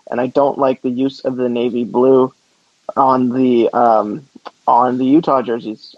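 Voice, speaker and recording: A man's voice: mid-range voice, no echo. Dry, muffled man co-hosting a podcast. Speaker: podcast co-host. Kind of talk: casual, opinionated sports chat. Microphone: remote call.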